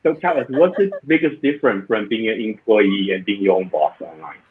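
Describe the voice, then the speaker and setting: A man's voice: fairly low pitch, fairly quick, breathy edge. A man hosting an online interview show over a video call, upbeat and welcoming, asking loose, rambling questions. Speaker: host. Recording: tinny phone-like line.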